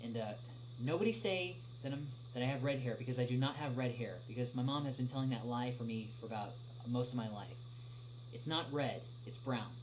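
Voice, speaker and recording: A man chatting casually on a livestream as he answers viewer questions, speaking haltingly with long pauses. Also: mid-range voice. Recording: noisy livestream audio with clear hum.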